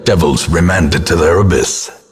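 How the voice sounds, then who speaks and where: A man playing a grim old ancestor, narrating a gothic game in a grave, theatrical and portentous manner with a husky, gravelly voice. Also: sits low. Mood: grave resolve. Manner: grave, ornate, deliberate, portentous.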